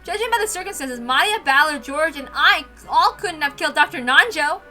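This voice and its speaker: A woman playing an alarmed, insistent young murder suspect in a visual novel reading, loud and emphatic. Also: high-pitched, animated, moderate pace, faint music behind, voice pushed up, full character voice.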